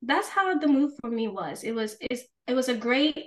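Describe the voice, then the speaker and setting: A woman's voice: high voice, sing-song, normal speed. Chatty young woman podcast co-host. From Ghana and the United States. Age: 20s. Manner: laughs often, candid and chatty. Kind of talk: casual chat.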